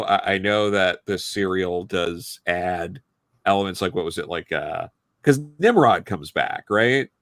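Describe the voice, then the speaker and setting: A man speaking as a podcast panelist, giving a casual, rambling and halting opinion in a review discussion. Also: low-pitched, fairly bright tone, clear, resonant tone, no room sound. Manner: halting, rambling, hedging delivery.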